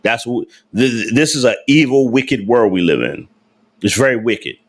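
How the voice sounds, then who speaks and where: A man's voice: medium pitch, expressive, normal speed. Male livestream host, casual and slangy, emphatic and repetitive delivery. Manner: emphatic, repetitive, rhetorical questions to chat; slangy informal speech. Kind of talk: casual livestream talk to viewers.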